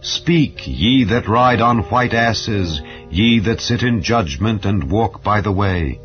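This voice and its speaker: Deep male voice, solemn scripture narrator, formal measured declamation, slightly dull recording, music underneath. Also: expressive. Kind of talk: dramatized Bible reading. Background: background music.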